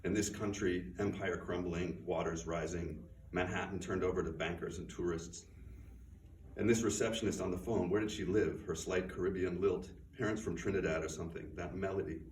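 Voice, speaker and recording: A man, an author, reading his own fiction aloud at a bookstore event in a measured, subdued way. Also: sits low, fairly even intonation, a little mellow. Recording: roomy, distant, faint noise.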